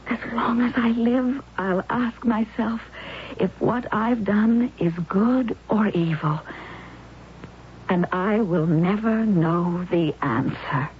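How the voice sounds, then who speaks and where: An actress playing a remorseful woman with a guilty secret, in confessional, halting phrases in an old-time radio drama. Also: mid-range voice, close to an everyday voice. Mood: anguished, confessional. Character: remorseful woman in self-imposed exile, secretly alive. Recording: muffled old radio recording, faint hiss.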